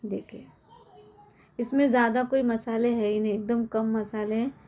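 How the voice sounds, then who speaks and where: A middle-aged woman with a hoarse, cold-worn voice, chatting casually as she explains a home recipe step by step in her kitchen. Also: on the high side, steady tone, normal speed, dark tone. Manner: chatty, explains step by step with frequent tag questions. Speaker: cooking channel host. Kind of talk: casual cooking tutorial.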